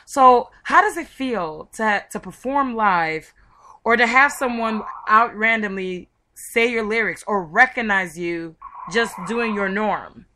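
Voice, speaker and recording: A woman radio host with a very bright, forward voice. She chats with her guest in an enthusiastic, gushing way and sounds excitable as she steers the interview. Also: medium pitch, takes it slowly, a little hiss or hum. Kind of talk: chatty, enthusiastic interview hosting.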